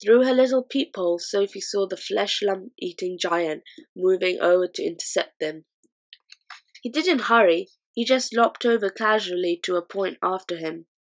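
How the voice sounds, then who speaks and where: Give me a woman narrating a children's storybook with a mid-pitched voice. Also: normal speed. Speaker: audiobook reader.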